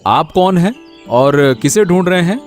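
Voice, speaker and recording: A man playing a caring, gently scolding elder brother, a landlord's son, in a moral story. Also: high-pitched, expressive, measured pace, faint music behind. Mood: polite.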